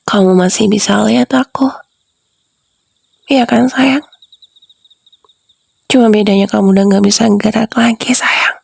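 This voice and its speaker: A woman's voice: fairly high pitch, a little slow, pauses often, dry recording, studio quality, heavily acted. A woman voicing a sinister young wife who whispers and giggles as she taunts the husband she has drugged. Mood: mocking, teasing. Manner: soft, teasing, breathy whispers with giggles. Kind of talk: whispered roleplay audio drama.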